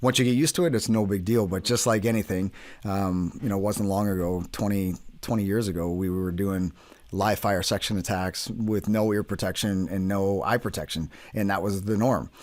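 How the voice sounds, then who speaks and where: A man's voice: low voice, steady tone, normal speed, darkish tone, dry recording. A man hosting an interview in an informal, plain-spoken way. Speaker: podcast host, military veteran. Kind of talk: casual interview chat.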